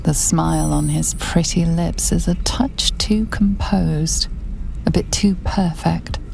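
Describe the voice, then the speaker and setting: An ominous female narrator of a fantasy game, speaks slowly and intimately. Her voice is dark and creaky, hushed as if sharing a secret. Every phrase is deliberate and knowing. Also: lowish voice, whispered, exaggerated voice, rough recording. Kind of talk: scripted second-person game narration.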